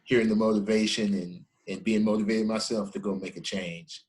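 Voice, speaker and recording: Man, podcast host, lowish voice, friendly casual interview. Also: fairly even intonation, a little fast, darkish tone. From Texas. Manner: friendly, appreciative, laughs easily.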